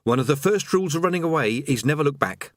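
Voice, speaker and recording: A man reading an audiobook, voicing a cowardly male wizard who speaks in a wary, dry, deadpan way. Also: on the high side, fast talker, dry recording, voice pushed up, lightly acted. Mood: wry.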